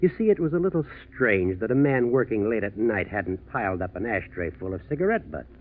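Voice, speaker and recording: Tinny 1940s radio drama: a shrewd American district attorney. His low voice rises and falls expressively as he probes methodically, with deceptive casualness. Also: very animated. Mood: shrewd, pointed. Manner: measured, probing, deceptively casual.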